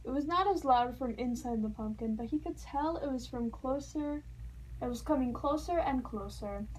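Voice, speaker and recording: A woman reads a children's story aloud. Her voice is quite high, and her storytelling is expressive and playful. There is faint noise in the recording. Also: normal speed, rough recording. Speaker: storybook reader. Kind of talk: children's read-aloud narration.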